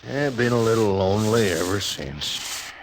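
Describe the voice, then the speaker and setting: Deep, laconic, lonely frontiersman. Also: lively intonation, moderate pace. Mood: wistful, resigned. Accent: American. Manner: laconic, plainspoken. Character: lonely, resigned, taciturn frontier stage station keeper. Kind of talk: 1950s Western radio drama acting. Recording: faintly noisy old radio recording.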